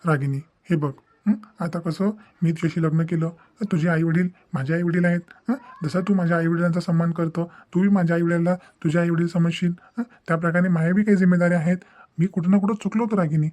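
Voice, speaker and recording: A man's voice: on the high side, fairly even intonation, fast talker, a little mellow, close to an everyday voice. A man voicing an earnest, conciliatory young newlywed husband in a cartoon family melodrama, explaining himself.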